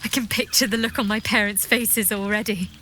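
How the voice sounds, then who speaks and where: A woman's voice: mid-range voice, fairly even intonation, fairly quick, sharp tone, slight background noise, natural voice, poor audio. An actress playing an affectionate, teasing young woman adventurer in a video game, with a playful, wry manner. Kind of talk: video game character acting.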